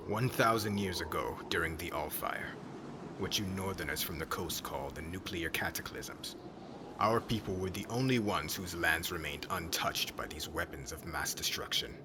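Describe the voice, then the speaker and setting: A man playing a stern, bitter witch hunter in an ASMR roleplay, cold and commanding, often half-whispering in a breathy voice. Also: low-pitched, noisy background. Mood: grave, proud.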